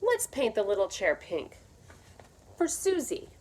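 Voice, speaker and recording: A woman's voice: on the high side, huge pitch swings, unhurried, darkish tone, husky, pitched above the performer's own voice, full character voice. A woman reading the part of an indignant, eager young boy in a picture book, in a plain, emphatic childlike manner.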